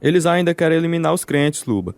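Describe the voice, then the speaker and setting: Grave, devout, forgiving prisoner. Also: male voice, fairly high pitch, animated, quick pace, no echo. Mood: devout, resolute. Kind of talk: radio drama narration and dialogue. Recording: guitar music behind the voice.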